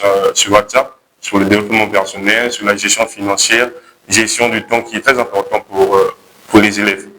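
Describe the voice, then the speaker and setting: A young man with a Congolese accent pitching his project to a jury, hesitating and correcting himself as he goes. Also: pushed and bright, slight background noise, poor audio. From Republic of the Congo. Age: young adult. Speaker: contest candidate pitching a project.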